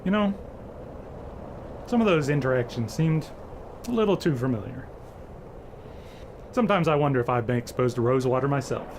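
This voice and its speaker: A man's voice: medium pitch, animated, normal speed, pauses often. A man delivering a scripted, in-character outro. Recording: clearly noisy background.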